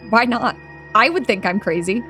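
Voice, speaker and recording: A woman's voice: on the high side, animated, moderate pace, bright, forceful tone, soft background music. A woman playing a shaken young tech engineer in an audio drama, conversational, earnest and emphatic. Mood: insistent. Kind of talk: audio drama table-read acting.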